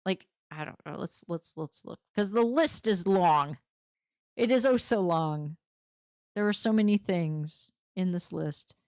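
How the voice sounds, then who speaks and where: Low, gravelly woman getting hoarse. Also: unhurried, a little mellow, no echo, clean studio sound. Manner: rambling, talkative, self-deprecating. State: voice going hoarse after hours of talking. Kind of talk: casual chat while playing a game.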